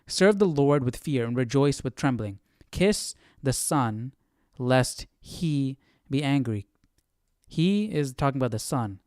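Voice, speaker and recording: A man hosting a casual live Bible study, thinking aloud in a halting way and asking rhetorical questions as he goes. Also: fairly high pitch, a little mellow, dry recording, clean studio sound.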